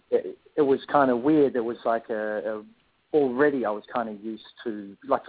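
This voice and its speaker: A man's voice: on the low side, no room sound. A man raised in Australia, a band musician answering interview questions in a rambling, casual way with many restarts. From born in South Africa, raised in Perth, Australia. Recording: tinny phone-line sound, dry.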